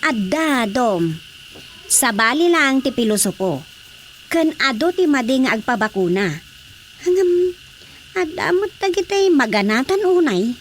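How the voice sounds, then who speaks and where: A woman playing an expressive, gossipy wife in a radio drama comedy, with an Ilocano accent and an exclaiming delivery. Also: high voice, measured pace, long pauses, slight background noise. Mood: animated gossip. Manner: animated, exclaiming.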